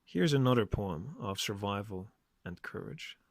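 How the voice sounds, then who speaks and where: A man hosting a reflective solo podcast, speaking in measured phrases with emphatic stresses. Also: on the low side, expressive, vocal fry, no echo, studio quality. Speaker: podcast host. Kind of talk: reflective solo podcast monologue with poem reading.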